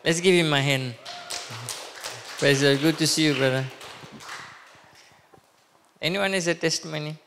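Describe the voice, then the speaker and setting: A man's voice: on the high side, normal speed, pauses often, fairly bright tone, slight background noise. A man in his thirties leading a church service, making announcements and praying aloud at a pulpit, with many fillers and restarts. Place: church hall, speaking at a pulpit. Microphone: handheld microphone. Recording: long hall reverb.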